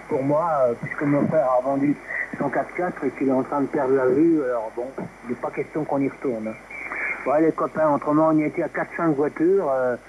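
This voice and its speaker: A man with a slightly high voice talks over a dull, clearly noisy radio link. He is an amateur operator, casual and familiar, rambling along with many little tag words. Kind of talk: casual radio chat, reminiscing.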